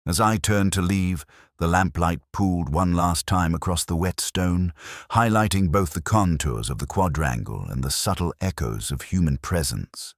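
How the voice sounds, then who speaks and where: A man giving a scripted, literary narration of a detective story in a measured, reflective and solemn manner. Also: low-pitched, no room sound, clean studio sound.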